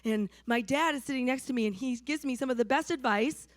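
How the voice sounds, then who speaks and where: A woman from New Hampshire preaching with a hoarse, slightly raised voice. She talks conversationally and keeps checking in with her listeners. The sound is close and dry, with no room around it. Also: high voice, brisk, no echo. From New Hampshire, USA. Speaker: pastor. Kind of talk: sermon.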